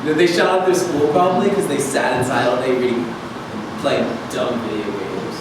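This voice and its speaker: A man's voice: mid-range voice, fairly quick, close to an everyday voice. A young man playing a dim, eager, blurting teenage boy sidekick in a staged script reading. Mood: eager, clueless. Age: young adult. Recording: very echoey room, distant mic, loud noise.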